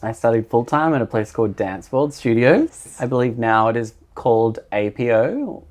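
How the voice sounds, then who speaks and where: A young man from New Zealand tells his story in a relaxed, chatty way, with only a faint trace of his accent. His pitch sits right in the middle range, and he sounds comfortable as a studio guest. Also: normal speed. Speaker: guest, director and choreographer. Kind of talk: casual interview chat. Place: podcast studio.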